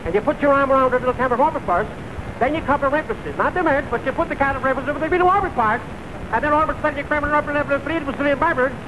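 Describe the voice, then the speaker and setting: An indignant cousin blusters in a high male voice, slipping earnestly into nonsense double-talk. The style is 1940s radio comedy, on a noisy vintage recording. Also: racing. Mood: indignant, blustering. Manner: earnest, blustering gibberish delivery.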